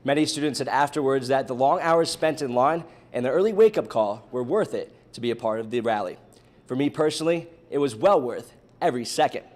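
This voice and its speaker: A man's voice: medium pitch, animated, a little fast. Young American man reading the news. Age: young adult. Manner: formal newscaster delivery. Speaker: student TV news reporter and anchor. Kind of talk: scripted news narration.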